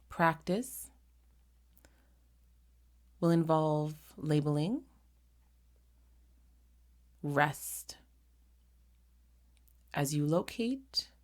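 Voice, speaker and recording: A woman leading a guided meditation in a gentle, unhurried manner, pausing often between short phrases. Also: deep voice.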